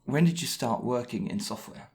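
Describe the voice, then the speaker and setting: A man hosting a podcast, asking a guest a list of questions in a brisk, lightly teasing way. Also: medium pitch, lively intonation. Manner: brisk, scripted intro then questions. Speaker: podcast host. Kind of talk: podcast interview.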